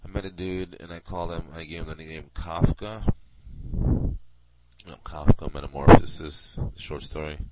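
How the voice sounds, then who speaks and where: A sleepy, stuffed-up man drifts through a solo internet broadcast with an extremely low, nasal voice, breaking off mid-thought and circling back. He speaks right against the mic at home. A loud steady hum runs underneath everything. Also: moderate pace. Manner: drowsy, meandering, self-interrupting. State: congested, nasal. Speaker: podcast host. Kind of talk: rambling solo internet radio talk. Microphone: close mic, very near the lips.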